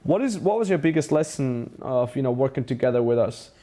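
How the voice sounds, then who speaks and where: A man's voice: medium pitch, lively intonation, brisk, warm tone. A man with a German accent hosting a casual interview as a business mentor, enthusiastic and full of praise. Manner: enthusiastic, praising, many restarts.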